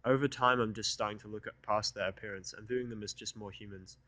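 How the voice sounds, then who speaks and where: A man's voice: lowish voice, steady tone, fast talker, sharp tone, no room sound. Dry-sounding man narrating a story. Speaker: audiobook narrator. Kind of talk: first-person fan fiction narration.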